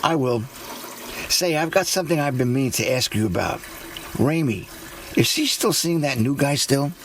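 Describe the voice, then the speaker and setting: A man playing a troubled, weary police detective in an audio drama, speaking gravely and plainly. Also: mid-range voice, lively intonation, moderate pace, a little hiss or hum, lightly acted. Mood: tired, gentle.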